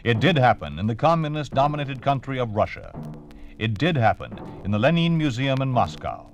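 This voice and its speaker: A man's voice: medium pitch, sing-song, brisk, muffled recording, faint music behind. A man delivering emphatic, declamatory scripted narration as an old-time radio announcer.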